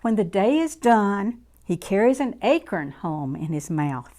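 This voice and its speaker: A woman reading a picture book aloud to young children in an expressive, deliberate, sing-song way. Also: mid-range voice. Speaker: children's story reader.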